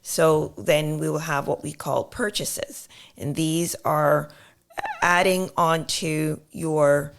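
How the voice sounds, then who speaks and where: Low, gravelly woman explaining accounting. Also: steady tone, slow. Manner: patient, explains with step-by-step examples, many fillers. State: slightly hoarse. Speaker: accountant guest.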